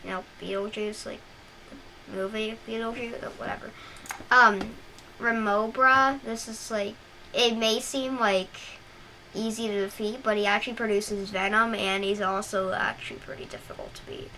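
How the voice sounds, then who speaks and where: A woman's voice: mid-range voice, fairly even intonation, moderate pace, sharp tone. A young woman with a scratchy voice casually walking through a video game, rambling with lots of "like" and restarts. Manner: rambling, filler-heavy, frequent restarts. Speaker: gameplay guide host. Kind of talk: casual gameplay walkthrough. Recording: noisy home recording.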